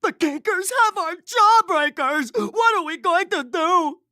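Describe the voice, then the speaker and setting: A big goofy oaf of a cartoon boy. He exclaims simple thoughts in a slow, high voice, bright and excitable. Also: heavily acted. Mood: excited. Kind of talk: cartoon game voice acting.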